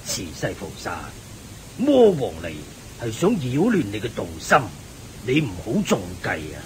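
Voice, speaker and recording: An actor playing a wise, shrewd old lay sage with a measured teaching manner in a radio drama. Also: male voice, wildly swooping pitch, darkish tone, poor audio. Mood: bold, exposing. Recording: faint rumbling hiss.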